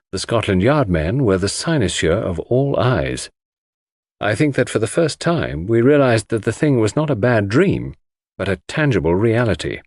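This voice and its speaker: A man's voice: mid-range voice, a little mellow, dry recording, clean studio sound. A man reading scripted first-person mystery narration for an audiobook.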